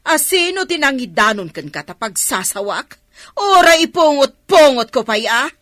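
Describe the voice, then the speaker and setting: A woman's voice: high-pitched, very animated, fairly bright tone, no echo, clean studio sound. A woman playing a scolding, evasive grandmother who gambles on the sly, flustered and quarrelsome in radio drama style. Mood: indignant. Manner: flustered, quarrelsome, drawn-out exclamations.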